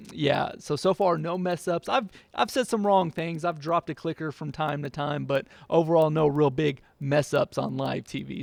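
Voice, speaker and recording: A man's voice: a little high, gravelly, no echo. A man from Oklahoma, a TV meteorologist, chatting upbeat and casually through a viewer Q&A, laughing now and then. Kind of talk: casual Q&A monologue.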